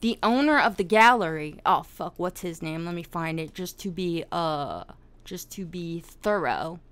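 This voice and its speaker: A woman's voice: fairly low pitch, lively intonation, a little slow, a bit bright, smooth. A woman hosting a casual live-streamed discussion, swearing often and laughing as she tells the story. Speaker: podcast host.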